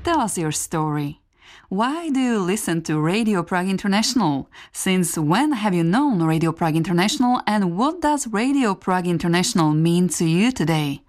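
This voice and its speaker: A woman's voice: lowish voice, expressive, normal speed, a little mellow. Woman voicing a radio promo and translation. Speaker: radio voice-over and announcer. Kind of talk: scripted voice-over and station promo.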